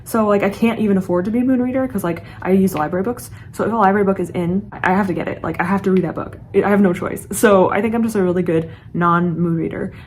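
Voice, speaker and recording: Fast-talking chatty young woman. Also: medium pitch, a little hiss or hum. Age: late 20s. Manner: chatty, rambling, earnest. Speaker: book vlogger. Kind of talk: casual chat to camera.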